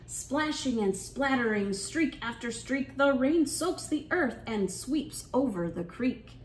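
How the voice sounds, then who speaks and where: A woman hosting an interactive children's storytime, speaking in an encouraging, sing-song way and pausing with questions for young listeners. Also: sits high, lively intonation, reverberant, a little hiss or hum. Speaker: children's storytime librarian and host. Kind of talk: interactive children's storytime, reading aloud and leading songs.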